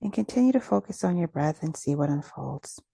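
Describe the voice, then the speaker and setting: Low, soft-toned woman guiding meditation. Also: animated, gravelly, husky, no echo. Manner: soothing, unhurried phrasing with pauses. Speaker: guided meditation host. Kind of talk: guided meditation, slow gentle instruction.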